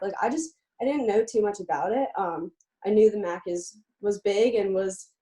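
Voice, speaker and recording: A woman's voice: medium pitch, dark tone. A young woman from Pennsylvania, a college student-athlete and guest on an interview show, talking eagerly and chattily with frequent "um" fillers. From Lebanon, Pennsylvania, USA. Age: young adult. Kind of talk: casual interview.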